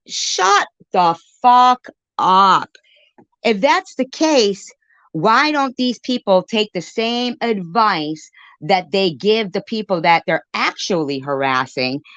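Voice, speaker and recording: A woman's voice: mid-range voice, fairly bright tone, dry recording. A woman giving an informal live-stream rant in an irritated, emphatic way, repeating phrases to drive her point home.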